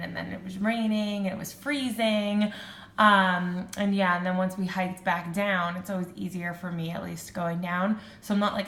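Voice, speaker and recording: A woman's voice: mid-range voice, a bit bright. A young woman in her twenties with a stuffed-up nose and a sore throat, chatting casually for her vlog, filling her talk with "like" and "um". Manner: chatty, lots of "like" and "um". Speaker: beauty vlogger. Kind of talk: casual chat. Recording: echoey room with faint hum.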